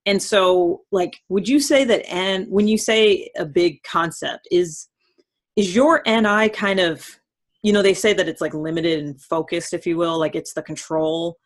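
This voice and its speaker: A woman with a slightly low voice hosts a relaxed, conversational interview. She phrases her questions informally and tentatively, hedging as she goes. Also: a little slow. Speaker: host interviewing a guest. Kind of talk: casual chatty interview.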